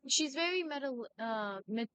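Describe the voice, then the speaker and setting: Higher-voiced woman streaming a game. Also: animated, normal speed, fairly bright tone, clear, resonant tone. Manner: stumbles when reading aloud. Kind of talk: casual live stream chat, reading dialogue aloud. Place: live stream over game audio. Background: game music.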